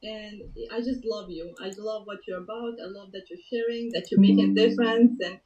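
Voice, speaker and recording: A woman from Bosnia, a guest telling her personal story, earnest and emotional and softly spoken. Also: mid-range voice, fairly even intonation, a little fast, faint music behind. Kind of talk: personal story on a talk show. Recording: muffled, roomy audio from a distance.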